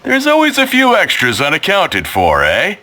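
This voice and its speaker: A man voicing a kindly middle-aged male merchant and father, warm, generous and chuckling. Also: high-pitched, huge pitch swings, normal speed, heavily acted. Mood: friendly. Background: game music.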